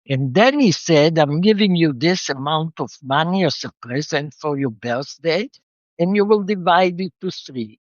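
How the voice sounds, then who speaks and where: A woman's voice: sits low, expressive, a little slow, darkish tone, no echo. An older woman from Israel, reflectively telling the story of her life as an interview guest, with a slightly muffled sound.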